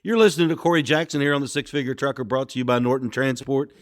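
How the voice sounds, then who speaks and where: A man hosting an interview podcast, asking questions in an affable, steady way. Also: mid-range voice, quick pace, no room sound, studio quality. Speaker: podcast host.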